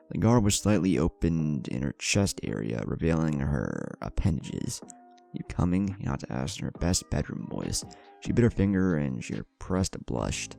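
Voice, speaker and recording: A man narrates scripted fan fiction. His voice is deep and mellow, with lively rises and falls, over a bed of quiet music. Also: creaky. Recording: music under the voice.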